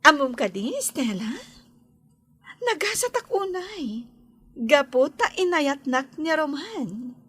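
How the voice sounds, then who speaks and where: Carla's type of young Ilocano bride-to-be in a radio drama. The voice is high and very animated, swooping up and down. The delivery is sugary, theatrical and gushing. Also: female voice, takes it slowly, long pauses, no room sound, studio quality, exaggerated voice. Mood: triumphant.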